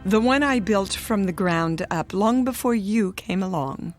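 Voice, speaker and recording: A woman's voice: medium pitch, expressive, a little slow, faint music behind, close to an everyday voice. An actress playing a vain, stubborn, hard-of-hearing woman who runs a cosmetics company, imperious and theatrical, in a radio drama. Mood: proud, defensive. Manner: imperious, theatrical, mishears people.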